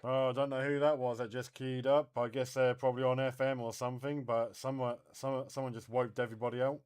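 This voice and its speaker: A man's voice: mid-range voice, flat delivery, fast talker, darkish tone, clear, resonant tone. A man chatting casually as a CB radio operator.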